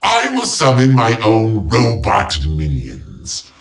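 Gravelly, bombastic robot villain. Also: male voice, fairly low pitch, huge pitch swings, takes it slowly, reverberant, distant mic, heavily acted. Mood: taunting, boastful. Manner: grandiose, petulant, theatrical boasting. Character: bombastic robotic villain overlord, boastful and childish. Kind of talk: video game villain voice lines.

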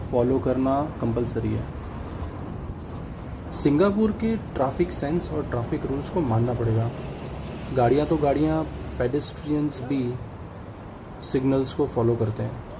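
A man's voice: mid-range voice, noisy background. Hindi-speaking man narrating a travel vlog. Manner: calm tour-guide explaining, mixing English phrases. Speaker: travel vlogger. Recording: voice over loud music.

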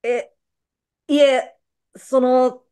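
A woman's voice: high voice, level tone, very slow, mellow, clean tone. A woman playing a meek, apologetic pheasant employee in a comedic radio drama, speaking hesitantly and with polite deference.

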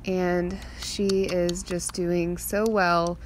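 Young woman, lowish voice, noisy background. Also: flat delivery, very slow. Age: young adult. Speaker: farm vlogger and mother. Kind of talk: personal vlog narration. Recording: clearly noisy outdoor ambience.

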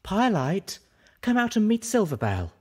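Guy doing a posh lady unicorn, silky n warm, polite, audiobook story. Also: high voice, wildly swooping pitch, moderate pace, pitched above the performer's own voice, heavily acted. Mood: gentle. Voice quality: smooth, warm. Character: refined female unicorn, smooth, gently seductive, polite.

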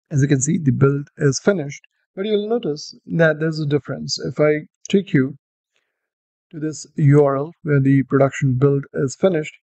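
A man's voice: fairly high pitch, no echo, muffled recording. Man talking through a coding tutorial. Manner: steady explanatory walkthrough with fillers. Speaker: coding instructor. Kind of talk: screen-recorded tutorial.

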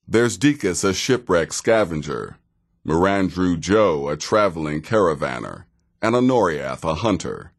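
An actor playing a sardonic, world-weary assassin in a fantasy video game, with a dry, deliberate delivery. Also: male voice, deep voice, lively intonation, full character voice. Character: sardonic, world-weary veteran male assassin of a dark guild.

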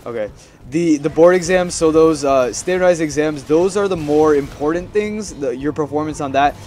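A man's voice: a little high, moderate pace, darkish tone, slight background noise. A young man giving casual, rambling gameplay commentary, chatty and playfully repeating his phrases. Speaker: gaming video host.

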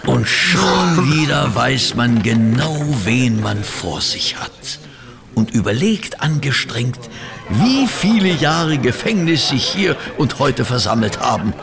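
An actor playing a sceptical gentleman sidekick and storyteller in a German radio play, dry, grumbling and ironic. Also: mid-range voice, big pitch swings, takes it slowly, vocal fry, music in the background, full character voice. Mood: wry storytelling.

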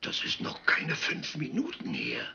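A man's voice: medium pitch, wildly swooping pitch, a little slow, gravelly, sharp tone, far from the mic, muffled recording, a little hiss or hum, exaggerated voice. An actor in a German crime radio play voicing a distraught, hesitant, pleading man. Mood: timid.

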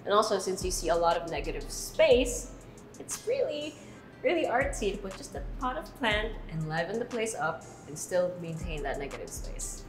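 A woman's voice: mid-range voice, big pitch swings, moderate pace, music in the background. A young woman hosting a walking house tour, chatty and upbeat, recorded with a clip-on mic inside a large house. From Philippines. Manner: upbeat, chatty, laughs easily. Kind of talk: walking real-estate tour narration. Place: large house interior, rooms and balconies.

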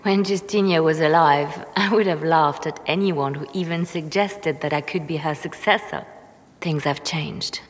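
A woman playing a calm, calculating hooded spymaster. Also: deep voice, in a large hall, natural voice. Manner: measured, persuasive, slow. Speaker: advisor.

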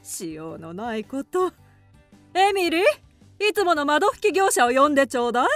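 A high, animated female voice as a genteel lady of the house in a radio play. She sounds fussy and exasperated. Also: moderate pace, dry recording, faint music behind. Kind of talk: audio drama acting.

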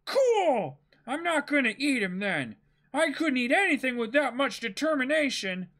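A man's voice: very high voice, sing-song, normal speed, a bit bright, pitched above the performer's own voice, heavily acted. A man voicing an eager, brash, naive young pirate in a boyish, exclamatory way for a manga reading. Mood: eager, impressed.

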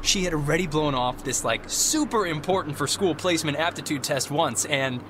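An animated American teenage boy played by a young man with a high voice that leaps around. He rambles and cuts himself off in a joking, excitable way. It is natural game dialogue over loud background noise. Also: normal speed, lightly acted. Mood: rambling, upbeat. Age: young adult. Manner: rambling, animated, self-interrupting.

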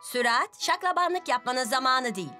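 A woman's voice: high-pitched, expressive, normal speed. A woman voicing a patient, encouraging female teacher car in a dubbed children's cartoon. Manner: warm, guiding, encouraging. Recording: music bed under voice.

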